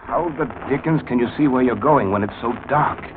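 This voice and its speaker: An actor playing a reluctant middle-aged former attorney in a 1940s radio mystery, uneasy, halting and grumbling. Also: male voice, medium pitch, close to an everyday voice. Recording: old 1940s radio broadcast, tinny, noisy.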